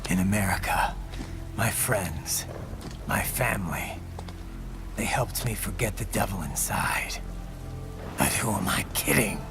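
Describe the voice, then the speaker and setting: An actor playing a grim cyborg swordsman in a video game, a born killer, with a gravelly, breathy growl and slow, menacing delivery. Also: fairly low pitch, music in the background. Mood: dark confession. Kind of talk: dramatic video game cutscene acting.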